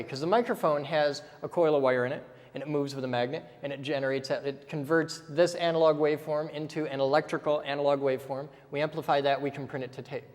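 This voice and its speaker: A man's voice: on the high side, big-room sound. A man teaching step by step in a casual way, often checking in with "okay?" as he goes. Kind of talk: casual technical explanation while drawing.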